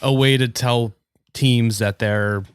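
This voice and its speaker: A man's voice: medium pitch, steady tone, slow, clean tone, no echo, clean studio sound. A congested man hosting a casual podcast, talking informally and swearing freely. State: congested with a cold. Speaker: podcast host.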